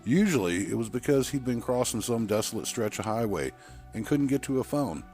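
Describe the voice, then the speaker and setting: A man's voice: medium pitch, lively intonation, a little fast, a little mellow, faint music behind, voice pushed up, close to an everyday voice. A man voicing a wistful woman who earnestly recalls her trucker father in an audio story. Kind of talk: acted dialogue in an audio story.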